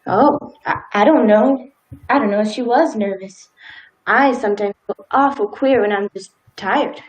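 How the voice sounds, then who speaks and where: A woman's voice: medium pitch, unhurried, lo-fi sound, natural voice. A woman in a radio play acting a timid, dutiful, law-abiding small-town wife with hesitant, folksy old-fashioned speech. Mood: nervous, hesitant.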